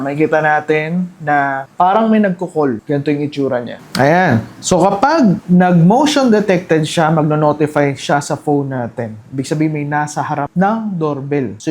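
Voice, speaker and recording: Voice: mid-range voice, darkish tone. A presenter with a Filipino accent explains a product step by step in a casual, conversational and instructional way.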